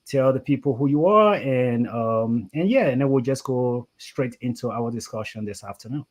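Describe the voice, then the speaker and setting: A man's voice: mid-range voice, moderate pace, a little mellow. A man hosting a conversational interview, rambling and often saying "you know". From Liberia. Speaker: talk show host.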